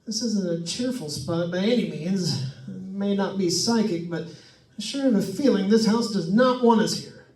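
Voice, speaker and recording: A high-voiced actor playing a nervous man stuck in a haunted house, rambling and joking to listeners as in an old radio thriller. The sound is echoey and set well back from the mic. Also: normal speed, a little mellow. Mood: uneasy, chatty. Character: jittery wisecracking man alone in a haunted house. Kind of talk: old-time radio suspense drama acting. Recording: echoey room, distant mic.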